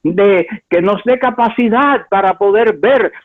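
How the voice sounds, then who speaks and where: A man from Cuba preaching a sermon as pastor, with emphatic delivery that swings between high exclamations and low asides, repeating words for effect. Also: measured pace. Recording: tinny phone-like line.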